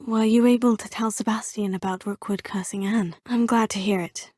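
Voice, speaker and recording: A woman's voice: mid-range voice, fairly even intonation, moderate pace, darkish tone, no room sound, lightly acted. An actress voicing a polite, composed young female student witch with a British accent, speaking game dialogue close to a studio mic. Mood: earnest. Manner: polite, proper and well-spoken. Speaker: player character, student. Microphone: close studio mic, dry.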